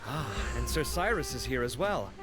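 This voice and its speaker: Animated, courteous young gentleman, played by a man. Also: medium pitch, moderate pace, close to an everyday voice, poor audio. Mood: polite, friendly. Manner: polite, expressive. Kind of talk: video game voice acting. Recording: loud game music behind.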